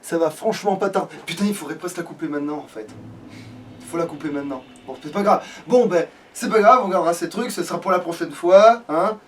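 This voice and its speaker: A man with a slightly high voice talks casually through a video game. He swears and grumbles as he goes. He sounds a little away from the mic, with faint noise behind him. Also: fast, far from the mic. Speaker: let's play host, playing the game. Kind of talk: casual gaming chat.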